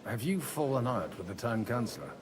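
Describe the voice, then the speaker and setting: Male actor as earnest young envoy in a medieval game: mid-pitched, animated melody, polite and direct, faint noise. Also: fairly quick, lightly acted. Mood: questioning, wary. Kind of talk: video game dialogue acting.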